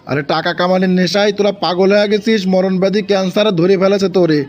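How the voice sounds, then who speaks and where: A man's voice: sits high, a little mellow, dull audio, lightly acted. A man with a Bangladeshi accent voicing a comic cartoon forest guru, preachy and exasperated, chiding and repeating his commands. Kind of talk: exaggerated comic cartoon acting.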